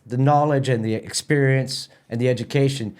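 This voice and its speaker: A middle-aged Canadian man talks casually as an interview guest. His voice sits at an ordinary medium pitch, and he explains things haltingly, often doubling back on his words. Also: fairly even intonation, darkish tone. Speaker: theatre company president, interview guest.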